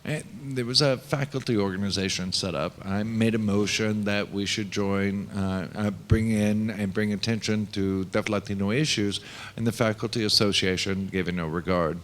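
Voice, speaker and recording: A man speaking at a panel discussion, voicing for a panelist in a deliberate, reflective way with pauses. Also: lowish voice, steady tone, a bit bright, slight background noise.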